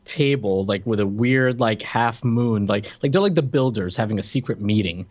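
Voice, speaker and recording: A man's voice: lowish voice, moderate pace, a little mellow, muffled recording. A man co-hosting a podcast, reviewing a film in casual chat with a joking, mock-conspiratorial manner. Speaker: podcast co-host.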